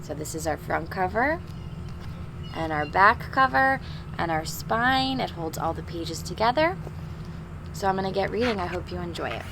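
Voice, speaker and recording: A woman's voice: lowish voice, lively intonation, moderate pace, gravelly, noisy background. A woman reading a storybook aloud to young children, expressively, with simple chatty asides and questions. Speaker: teacher reading a picture book aloud to children.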